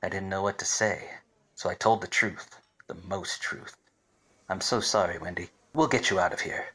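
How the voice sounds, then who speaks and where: An actor playing a wry, obtuse 1940s private detective in melodramatic, deadpan radio-play style. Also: male voice, low-pitched, normal speed, breathy edge, full character voice. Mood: earnest. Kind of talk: 1940s radio detective acting with hard-boiled narration.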